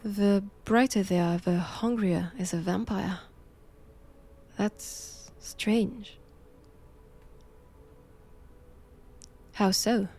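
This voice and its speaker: Woman acting a slow-spoken, imperious French nun. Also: medium pitch, mellow. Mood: clinical, commanding. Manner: slow, deliberate, haughty, often dropping to a whisper. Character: cold, imperious nun examining a captive vampire. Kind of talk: intimate roleplay audio drama.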